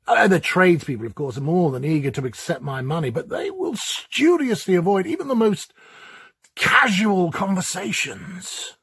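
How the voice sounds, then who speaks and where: An actor in a dramatic reading, playing a lonely, timid, middle-aged academic who muses in a hesitant, fussy, precise way. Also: male voice, medium pitch, breathy edge. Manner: hesitant, musing, theatrical, precise wording. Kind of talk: performed dramatic reading of a classic ghost story, first-person monologue.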